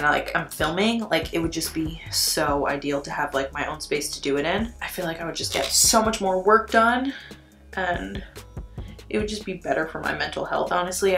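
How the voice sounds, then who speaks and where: A young woman chats away on camera as she does her makeup, her voice slightly low for a woman and full of "like" and easy profanity. The room around her is echoey, with faint music playing underneath. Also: vocal fry, a bit bright. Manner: chatty, profane, says "like" constantly. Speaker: beauty vlogger. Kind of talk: casual chat while doing makeup. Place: at home indoors. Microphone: camera mic.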